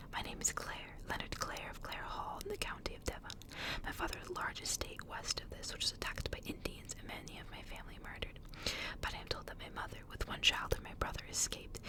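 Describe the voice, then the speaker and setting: Whispered courteous gentleman read by a woman. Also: close to an everyday voice. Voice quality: breathy whisper. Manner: formal, polite, old-fashioned. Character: courteous English gentleman searching for his lost family. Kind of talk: ASMR storybook reading.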